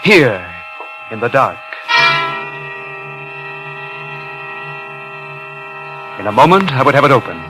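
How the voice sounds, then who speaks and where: An actor playing a nervous, obsessive impostor in 1950s radio suspense, with a halting, intense delivery. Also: male voice, mid-range voice, sing-song, natural voice, low-quality recording. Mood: tense, gloating. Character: nervous, obsessive male impostor and would-be thief. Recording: vintage 1950s radio recording, music behind.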